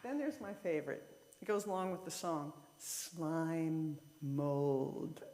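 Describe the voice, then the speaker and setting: A woman's voice: deep voice, slow pace, dark tone. Woman giving a talk in a reverberant hall. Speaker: lay speaker at a church service. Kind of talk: informal lay sermon with slides. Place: church sanctuary, speaking to a congregation. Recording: reverberant hall with long echo.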